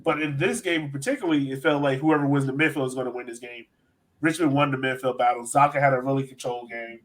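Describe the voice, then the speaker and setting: A man's voice: medium pitch, poor audio. An American man hosting a sports podcast, talking casually and with enthusiasm as he breaks down soccer. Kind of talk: casual soccer analysis chat.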